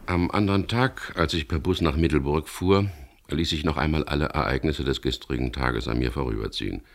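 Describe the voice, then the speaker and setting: An actor playing a guarded, plain-spoken English skipper in a radio crime drama, measured and laconic, narrating his own story and talking in dialogue. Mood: reflective, matter-of-fact. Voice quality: gravelly, very low voice. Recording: 1970s radio drama production.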